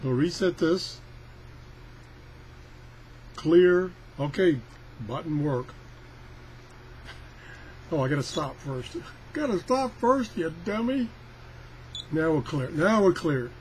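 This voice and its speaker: Higher-pitched folksy man, noisy recording. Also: expressive, slow pace, long pauses. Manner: rambling, self-deprecating, folksy. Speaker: product tester presenting a radio review. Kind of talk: casual hands-on demo chat.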